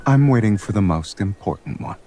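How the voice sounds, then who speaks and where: An actor playing a proud, teasing and quietly affectionate crime boss in a romance game, speaking unhurriedly over guitar music. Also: male voice, lowish voice, lively intonation, fairly quick.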